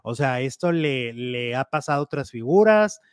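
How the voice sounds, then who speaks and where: A man co-hosting a casual gossip show, animated and chatty as he reads out and comments on news items. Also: medium pitch, normal speed, smooth, dry recording, studio quality.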